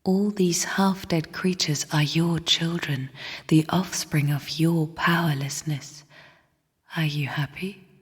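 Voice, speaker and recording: Breathy, whispering spirit of the void. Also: female voice, sits low, fairly even intonation, heavily acted. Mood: bitter, reproachful. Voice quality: breathy, hushed half-whisper. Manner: slow, solemn, cryptic. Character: somber, bitter sister spirit of the void, guarding her chambers. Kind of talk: video game character voice lines. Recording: long cavernous reverb.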